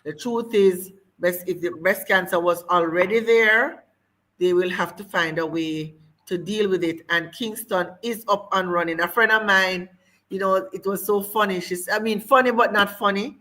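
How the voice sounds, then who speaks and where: Woman host, crisp bright tone, hesitant interview questions. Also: mid-range voice, normal speed. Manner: hesitant, circling phrasing with many fillers.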